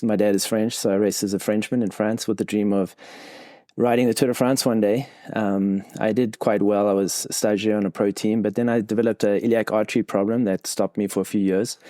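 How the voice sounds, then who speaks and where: A middle-aged man from South Africa talks cycling coaching in a podcast interview, rambling with frequent uhs. His voice sits low and mellow. The sound is dry and close, with no room around it. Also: no room sound. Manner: rambling storytelling with many filler ums and uhs.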